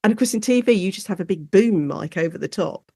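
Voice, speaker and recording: A woman's voice: mid-range voice, lively intonation, fast, creaky, a little mellow, slightly rough, dry recording. English woman, chatty expert, close dry sound. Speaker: guest academic expert. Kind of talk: conversational interview, explanatory.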